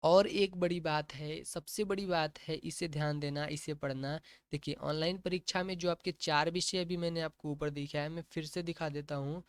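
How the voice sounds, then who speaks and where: A man's voice: on the high side, smooth, no room sound. A man coaching exam candidates, talking through a recruitment notice he shows on screen and checking in often with "okay". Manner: plain, repetitive explaining with frequent "ठीक है" checks. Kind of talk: explaining a job notification on screen.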